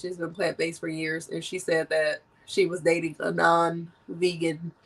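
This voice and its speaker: A woman's voice: on the low side, creaky, a bit bright, recorded from a distance, low-quality recording. A woman hosting a casual conversation, a little nasal from a cold and laughing often. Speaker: podcast host.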